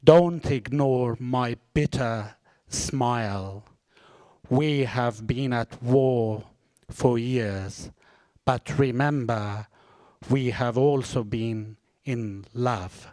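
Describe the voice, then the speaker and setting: A man from Afghanistan with as his recites a poem slowly and deliberately, each phrase given room. His voice is dry and close on a handheld microphone. He sounds middle-aged and a little hesitant. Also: mid-range voice, warm tone, no room sound. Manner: hesitant, halting delivery. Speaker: exiled writer reading a poem. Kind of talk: poetry recitation at a panel. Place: seminar hall with audience.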